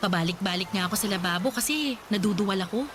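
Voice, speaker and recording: A woman's voice: mid-range voice, quick pace, music in the background, close to an everyday voice. A woman playing an affectionate, teasing young wife in a radio drama. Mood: coy, reassuring.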